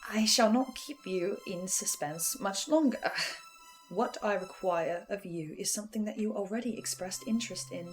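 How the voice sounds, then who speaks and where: Woman performing a measured, ominously polite letter reader. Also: medium pitch, soft background music, close to an everyday voice, poor audio. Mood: quietly menacing. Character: formal, menacing, composed woman reading a manipulative supernatural letter. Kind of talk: horror audio drama statement reading.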